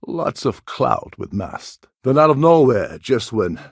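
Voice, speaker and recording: An actor voicing a cynical, scheming space pirate pilot in a video game, with a gravelly, husky voice and a wry delivery. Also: male voice, mid-range voice, big pitch swings, unhurried, no room sound, clean studio sound, exaggerated voice. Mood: serious, briefing. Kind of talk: video game character dialogue.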